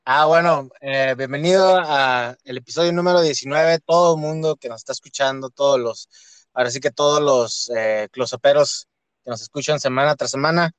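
A man hosting a podcast in a relaxed, slightly hesitant way, with short prompts and restarts. His voice sits at an ordinary middle pitch. The sound is dry and close. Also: normal speed, clean tone, no room sound. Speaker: podcast host. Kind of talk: casual podcast interview.

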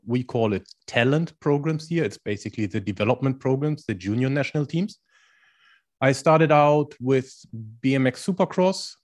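A man in his forties from Germany with a German accent, answering interview questions in English as a coach in a calm, explanatory way. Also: mid-range voice. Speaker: guest, strength and conditioning coach.

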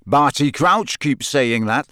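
A boyishly enthusiastic wizard fellow from a storybook, acted by a man. He is breezy, comfortable and chummy, with a lively, rising and falling pitch. The recording is dry and close. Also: slow, no echo. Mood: breezy, bright. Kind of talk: audiobook character voice.

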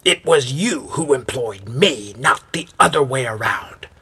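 A man voicing an indignant, defensive sorcerer for hire with a raspy voice in a dramatized story. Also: medium pitch, animated, measured pace, pushed and bright, voice pushed up, exaggerated voice. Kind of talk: acted storytelling dialogue.

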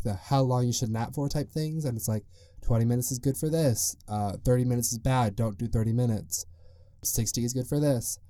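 A man's voice: medium pitch, dark tone, no echo, clean studio sound. A young man hosting his own podcast, rambling loosely and chatting on his own. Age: young adult. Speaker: podcast host. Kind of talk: casual solo podcast monologue.

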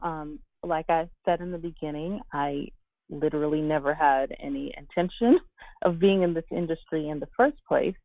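A woman from Guyana speaks as an interview guest, reflective and earnest. Her voice has a frying, creaky edge. The sound is close and dry but narrow and lo-fi, like a call. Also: low voice, moderate pace, no room sound. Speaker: interview guest, fashion designer and business owner. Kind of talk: conversational interview answers.

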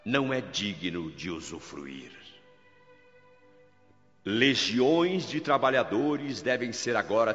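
A solemn governor orating. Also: male voice, lowish voice, pushed and bright, full character voice. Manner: grave, declamatory, measured speech. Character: solemn male governor addressing an assembly. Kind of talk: radio drama oratory. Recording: dull lo-fi radio drama, long hall reverb. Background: faint music.

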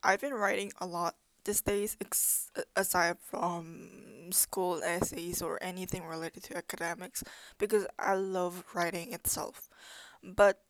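A woman's voice: on the low side, fairly even intonation, unhurried, no echo. A young woman whose first language is Korean, presenting as a student in a thoughtful way, with frequent fillers and self-corrections. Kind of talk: unscripted presentation.

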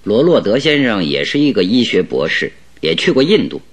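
An actor playing a shrewd, calm detective with a wry, teasing manner in a radio drama. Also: male voice, fairly low pitch, fairly quick. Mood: amused, unruffled.

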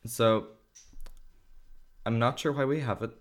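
A man's voice: medium pitch, steady tone, a little slow. A teenage boy with an Irish accent chatting casually and explaining his schoolwork at length, with plenty of fillers. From Ireland. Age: teens. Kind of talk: casual video chat.

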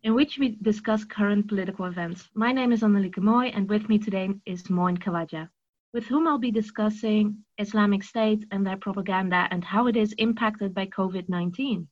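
A woman's voice: medium pitch, brisk, dull audio. A woman hosting a podcast, introducing and putting questions to a guest in a conversational interview style.

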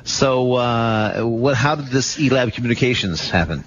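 A man hosting a weekend radio talk show, giving brisk, upbeat station announcements and interviewing a guest. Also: medium pitch, lively intonation, fairly bright tone. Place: radio studio. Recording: muffled radio broadcast with faint hiss.

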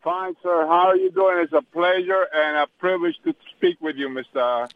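A man's voice: fairly high pitch, steady tone, normal speed. A man from Cuba, raised in the United States, calling a radio show and speaking politely and earnestly. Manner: polite, deferential. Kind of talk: earnest phone-in comment. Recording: dull lo-fi radio call-in line.

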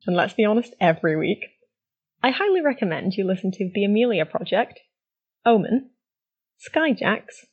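A woman reading a friendly scripted podcast promo in a husky, breathy voice on a slightly dull recording. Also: fairly high pitch. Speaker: podcast maker giving a promo.

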